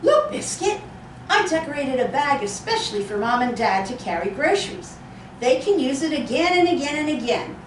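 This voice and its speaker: A woman reading a cheerful little girl who talks gently to her puppy in a sing-song way. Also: high-pitched, sing-song, normal speed, a little hiss or hum. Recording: roomy echo, distant mic.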